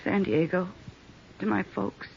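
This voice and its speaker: A woman's voice: medium pitch, unhurried, creaky, a little hiss or hum. A woman playing a frightened, sullen young nightclub stripper in her twenties, pleading and defensive in an old radio drama. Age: 20s. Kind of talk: 1950s radio drama acting. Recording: dull old radio broadcast.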